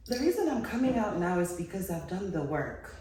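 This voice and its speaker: A woman from the Democratic Republic of Congo, a guest on a talk show, calmly telling her personal story in a measured way. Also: very echoey, recorded from a distance. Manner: calm, measured, speaks of hard memories in a low steady voice.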